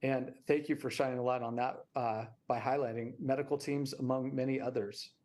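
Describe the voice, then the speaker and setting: A man's voice: medium pitch, fairly even intonation, fairly quick, a little mellow. An American man speaking as a nonprofit representative, explaining the work in an interview.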